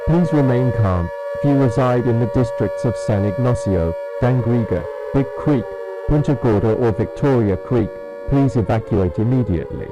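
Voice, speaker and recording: A man's voice: medium pitch, moderate pace, hardly any pauses, music in the background, natural voice, low-quality recording. Man acting as a solemn emergency alert announcer. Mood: grave, calm. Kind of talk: scripted emergency broadcast announcement.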